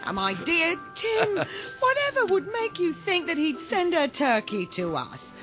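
An actress playing an excitable, loving mother, flustered and exclaiming, in a radio drama. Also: very high-pitched, unhurried, full character voice. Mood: amazed, emotional. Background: prominent music.

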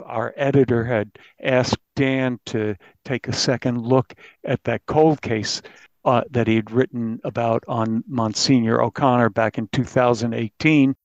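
Slightly muffled dry audio, mature guy reporter being interviewed, talks measured and a bit halting. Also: medium pitch, no echo. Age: middle-aged or older. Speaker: newspaper crime reporter. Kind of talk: interview answers. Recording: slightly dull, dry sound.

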